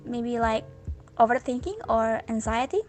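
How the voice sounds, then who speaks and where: A woman's voice: a little high, normal speed, a little mellow, soft background music. Young Indonesian woman answering carefully. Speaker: interviewee. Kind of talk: answering interview questions.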